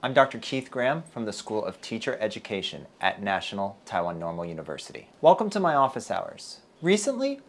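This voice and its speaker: A male university lecturer from Texas speaks in a careful, deliberate way, as if reading a prepared talk. His pitch rises and falls freely, lifting at the start of phrases and settling low at the ends. The tone stays earnest throughout. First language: English. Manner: measured, earnest, deliberate delivery. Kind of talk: scripted video lecture to camera.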